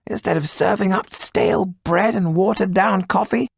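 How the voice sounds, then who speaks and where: A man voicing a sharp, tetchy, scheming man with a pinched, raspy voice and a curt, irritable manner. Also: high-pitched, normal speed, no echo, studio quality, pitched above the performer's own voice, exaggerated voice.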